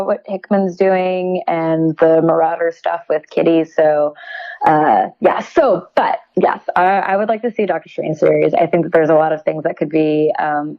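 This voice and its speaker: A woman's voice: deep voice, vocal fry. A woman speaking enthusiastically as an interview guest, chatting casually. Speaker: guest, publisher's communications manager. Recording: dull, lo-fi remote connection.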